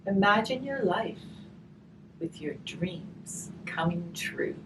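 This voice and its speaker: Slow-paced woman reading aloud, distant mic. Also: medium pitch. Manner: slow, expressive reading with chatty questions to the audience. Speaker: hospice volunteer reading a picture book to children. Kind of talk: read-aloud of a picture book with gentle asides to listeners. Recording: distant mic, faint background noise.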